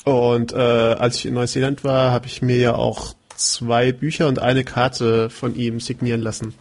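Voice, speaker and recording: A man's voice: medium pitch, flat delivery, a little slow. A man co-hosting a casual podcast chat, hesitant with lots of filler sounds and chuckles. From southwest Germany. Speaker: podcast co-host.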